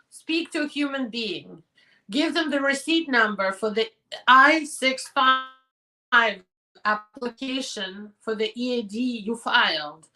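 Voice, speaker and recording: Hoarse bright-voiced woman attorney. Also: fairly high pitch, distant mic, rough recording. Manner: direct and advising, with quick practical answers. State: hoarse, losing her voice. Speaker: immigration attorney hosting a live show. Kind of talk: live Q&A, reading out viewer questions and answering.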